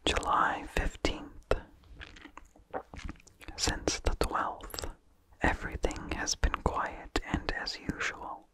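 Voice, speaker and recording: ASMR reader: unvoiced breathy whisper, very slow and deliberate, hushed close-mic delivery. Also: rough recording. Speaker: ASMR host reading a vintage horror story. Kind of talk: whispered ASMR story reading. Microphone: close microphone with incidental mouth sounds.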